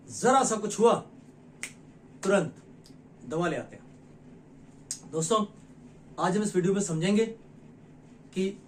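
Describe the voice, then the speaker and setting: High-voiced man explaining to viewers. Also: slight background noise, poor audio. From India. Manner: addresses viewers directly, with many rhetorical questions; earnest and persuasive, with short pauses between phrases. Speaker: nutrition educator and channel host. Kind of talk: explanatory talk to camera.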